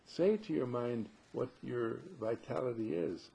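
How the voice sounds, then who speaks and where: Husky, gravelly man giving a spiritual talk. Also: medium pitch, a little slow, dark tone, poor audio. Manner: rhetorical questions, emphatic repetition. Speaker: spiritual teacher.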